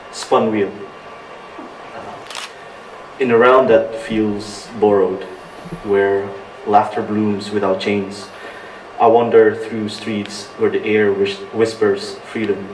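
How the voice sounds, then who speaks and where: A man speaks at a poetry open mic. His voice is on the low side and his delivery is slow and earnest. He is picked up from a distance in an echoing bar room. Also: flat delivery. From Indonesia. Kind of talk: live poetry reading with halting spoken introduction. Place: indoor bar venue at a live open-mic night. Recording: roomy, distant mic, faint background noise.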